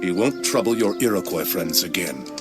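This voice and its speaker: A commanding colonial colonel for a game cutscene. He is formal and firm, with animated intonation and prominent music under the voice. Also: deep voice, normal speed. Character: composed, authoritative colonial army colonel. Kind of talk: dramatic video game cutscene acting.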